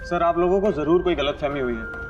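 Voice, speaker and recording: Higher-pitched defensive male lab worker, played by an actor. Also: a little fast, lo-fi sound, music in the background, natural voice. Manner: protesting, insistent. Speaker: actor in a TV crime drama.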